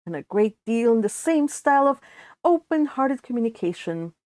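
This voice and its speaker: A woman hosting a book read-along, moving between casual, chatty talk and expressive reading aloud, with informal asides. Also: a little high, moderate pace, no room sound, clean studio sound. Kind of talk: casual livestream chat and expressive audiobook-style reading aloud.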